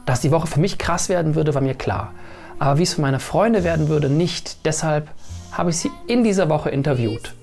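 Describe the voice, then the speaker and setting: A man giving a reflective, measured voice-over narration for his own vlog. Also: fairly high pitch, creaky, darkish tone, faint music behind. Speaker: vlogger.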